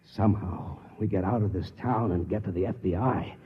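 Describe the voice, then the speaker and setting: An actor playing a determined, alarmed ordinary man in mid-century American radio drama, with a husky, urgent, earnest delivery. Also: deep voice, brisk. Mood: urgent explaining. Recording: muffled, reverberant old radio recording.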